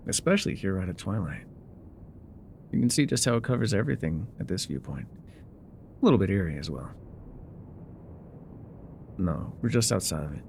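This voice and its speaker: An actor playing a gruff, guarded male trail guide in an immersive audio roleplay, with wry, folksy, laconic phrasing. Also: lowish voice, a little mellow, slight background noise. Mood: quiet, awed. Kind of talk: immersive ASMR roleplay acting, talking to the listener.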